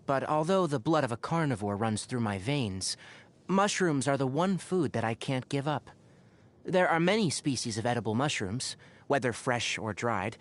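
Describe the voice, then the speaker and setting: A man's voice: mid-range voice, natural voice. A man playing a young, scholarly fox-eared forest ranger in a video game, speaking precisely and articulately with dry wit. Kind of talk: scripted game voice lines. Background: background music.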